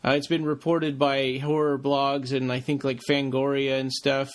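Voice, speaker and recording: A man co-hosting a podcast in casual chat, speaking haltingly as he searches for words. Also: mid-range voice, flat delivery. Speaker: podcast co-host.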